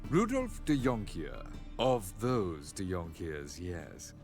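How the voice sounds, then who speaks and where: An actor playing a haughty, boastful wealthy banker in a video game, with a pompous manner of speaking. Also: male voice, low-pitched, huge pitch swings, over music, heavily acted. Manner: pompous, drawn-out phrasing. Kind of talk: video game character acting.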